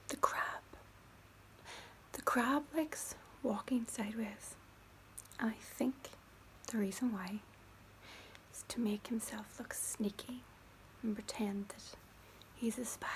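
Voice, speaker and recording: A woman's voice: mid-range voice, slight background noise, rough recording. A woman from Ireland, whispering a children's book aloud for ASMR and lingering over repeated words close to the mic. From Northern Ireland. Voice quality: soft whisper. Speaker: ASMR creator. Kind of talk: whispered ASMR storybook reading.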